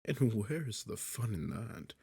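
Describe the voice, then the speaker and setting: A sarcastic demon king played by a man speaks in a husky, low-pitched voice, close and dry as in an ASMR roleplay. He is theatrical and condescending, teasing in an unhurried way with a gravelly edge. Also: sing-song, warm tone, no room sound, heavily acted. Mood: amused, condescending. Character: sarcastic, smug demon king toying with a captured hero. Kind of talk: ASMR roleplay audio drama.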